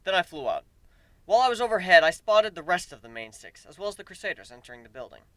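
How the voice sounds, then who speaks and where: An expressive man narrates a story with lively, swinging intonation. His voice is slightly high, clean and bright, with an emphatic dramatic delivery. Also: quick pace, no echo. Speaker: amateur story reader.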